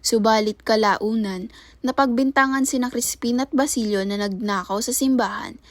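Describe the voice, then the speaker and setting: A woman's voice: on the high side, normal speed, no room sound. A woman narrating a radio play from a script.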